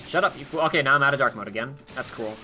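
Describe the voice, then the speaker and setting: A man's voice: mid-range voice, rapid-fire, bright, forceful tone. A man co-hosting a game playthrough, chatting casually and rambling with restarts. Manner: rambling, self-correcting. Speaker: let's play co-host. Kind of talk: casual chat over gameplay. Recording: faint game music behind.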